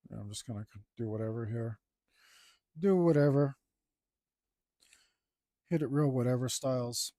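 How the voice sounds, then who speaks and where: A man talking casually to himself as he works on a digital drawing, mumbling in a relaxed way. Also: medium pitch, normal speed, pauses often, no room sound, studio quality. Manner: mumbling to himself, laid-back. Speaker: digital artist. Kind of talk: casual talk while drawing.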